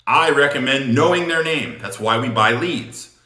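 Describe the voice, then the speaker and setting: A man delivers a sales coaching talk in a bright, forceful voice at a middle pitch. He is emphatic and informal, with blunt patter and repeated words for punch. The recording has a noticeably echoing room sound. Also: animated, moderate pace. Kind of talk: instructional talk on phone sales technique.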